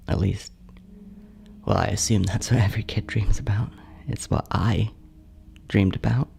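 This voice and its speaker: A man playing a bitter, neglected older sibling in a horror monologue, hushed and confessional, often slipping into whispers. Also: lowish voice, gravelly, slight background noise, exaggerated voice. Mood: hurt, resigned.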